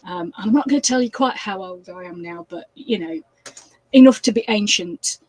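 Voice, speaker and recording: Woman, 60s, Yorkshire accent, husky and close-miked dry, chatty author on a video call. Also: a little high, sing-song, moderate pace, a little mellow, no room sound, poor audio. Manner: chatty, with many fillers and restarts. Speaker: crime author. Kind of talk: casual interview chat.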